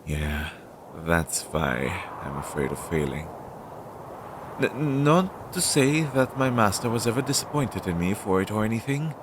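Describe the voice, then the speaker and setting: A man's voice: big pitch swings, unhurried. A man playing an anxious, self-doubting magical familiar in an audio roleplay, speaking hesitantly and fretfully to his master. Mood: nervous, reflective. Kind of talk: scripted audio roleplay, one-sided dialogue. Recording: low-fidelity audio with clear background noise.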